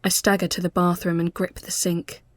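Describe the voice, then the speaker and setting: A woman reading a literary ghost story as scripted narration, measured and often hushed as phrases end. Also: lowish voice, little pitch movement, dry recording, clean studio sound.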